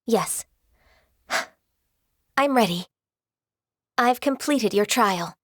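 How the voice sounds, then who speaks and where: A woman voicing a gentle, reserved young half-human immortal in a video game, speaking formally and earnestly. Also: fairly high pitch, animated, extremely slow, no room sound, studio quality, heavily acted. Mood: apologetic, meek.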